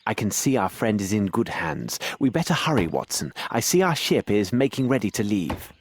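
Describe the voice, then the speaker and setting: An aloof detective for a video game. His voice sits fairly low and moves with animated intonation. He speaks formally and commands everyone around him. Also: normal speed, a little hiss or hum. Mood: composed, courteous. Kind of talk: video game cutscene acting.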